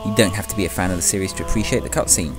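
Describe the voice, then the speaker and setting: Low-voiced man presenting over music. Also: steady tone, very fast. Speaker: presenter of a video game countdown. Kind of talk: scripted opinion commentary voice-over. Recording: music behind the voice. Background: loud music.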